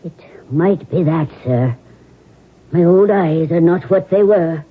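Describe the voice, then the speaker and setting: An actress playing a humble, superstitious old servant woman, husky and ominous, in a radio drama. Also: on the low side, slow, narrow, tinny audio. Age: older. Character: superstitious, foolish old Irish female servant. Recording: band-limited old radio recording.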